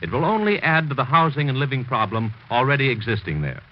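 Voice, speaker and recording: An American man delivers scripted announcements in classic 1940s radio style. His medium-pitched voice is lively, formal and emphatic. The old broadcast sounds dull, with faint noise. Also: fast.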